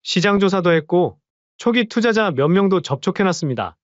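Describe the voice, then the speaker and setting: A man's voice: high voice, lively intonation, moderate pace, no echo, muffled recording. A man voicing an earnest young son-in-law in an audio drama, politely confident as he explains his plans.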